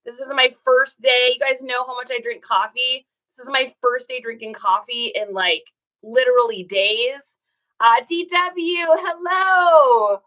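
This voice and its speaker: A woman in her thirties chats casually on a livestream, greeting viewers one by one and laughing easily. Her voice sits a little high and sounds very bright, with a lingering scratchiness left over from a recent cold. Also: a little slow. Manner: chatty, greets viewers by name, laughs often. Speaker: reseller livestream host.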